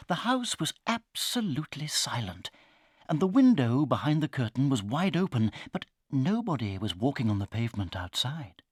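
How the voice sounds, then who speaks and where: Expressive, husky British male storyteller. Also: medium pitch, no room sound, studio quality. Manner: dramatic, suspenseful, hushing to near whispers. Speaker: narrator. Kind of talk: dramatized children's storybook narration.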